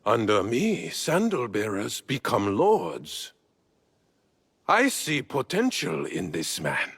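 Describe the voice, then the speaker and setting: An actor playing a commanding, ambitious warlord, speaking in a grandiose, deliberate way in a cinematic period game. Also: male voice, mid-range voice, huge pitch swings, fairly bright tone, breathy edge, no room sound, heavily acted. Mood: imperious. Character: commanding, ambitious Japanese warlord, proud and theatrical. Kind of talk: cinematic period drama acting.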